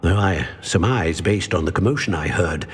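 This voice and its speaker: Ancient wizard keeper played by a man: low, husky, solemn and cryptic, ringing in long reverb. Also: normal speed, natural voice. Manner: stately, formal, cryptic. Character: grave, wise old male keeper of ancient magic. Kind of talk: video game voice acting.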